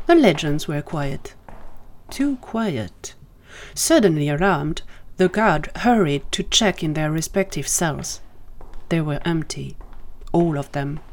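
A woman narrates a scripted audio story in a fairly low-pitched voice. She delivers it as storytelling narration, reading prose to the listener. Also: animated, takes it slowly, a little mellow. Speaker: narrator of fan fiction audio drama.

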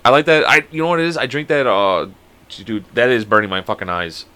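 Man co-hosting from home: bright, animated delivery, foul-mouthed, joking. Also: medium pitch, a little fast, clear, resonant tone, no room sound. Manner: crude, joking, swears a lot. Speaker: podcast co-host. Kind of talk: casual chat.

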